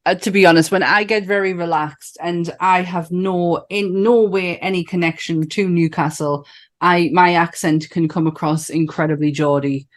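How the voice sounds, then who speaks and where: A woman's voice: lowish voice, fairly even intonation, moderate pace. A woman from northern England with a northern accent, co-hosting a podcast in enthusiastic, casual chat, swearing and laughing often. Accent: northern English. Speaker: podcast co-host.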